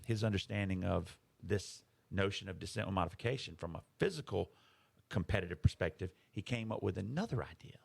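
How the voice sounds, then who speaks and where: A man's voice: deep voice, gravelly, husky. A man co-hosting a podcast, explaining ideas in casual conversation, emphatic and fond of rhetorical questions. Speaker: podcast co-host.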